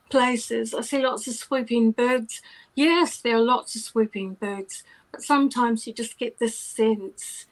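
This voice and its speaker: A woman with a soft voice giving a psychic reading, affectionate, sometimes dropping to a whisper. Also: on the high side, low-quality recording. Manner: warm, affectionate, drops to whispers. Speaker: psychic reader.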